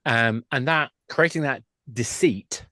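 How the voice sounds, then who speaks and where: A man's voice: mid-range voice, unhurried, sharp tone, dry recording. A middle-aged man speaking as a podcast guest, chatting in a self-deprecating, conversational way about his work. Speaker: podcast guest, games industry expert. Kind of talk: conversational interview talk.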